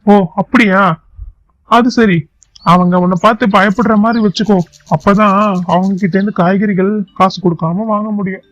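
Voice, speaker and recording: A woman's voice: medium pitch, fairly even intonation, moderate pace, no room sound, muffled recording, pitched below the performer's own voice, close to an everyday voice. A woman voicing a sly, conniving male bird husband in a children's cartoon moral story.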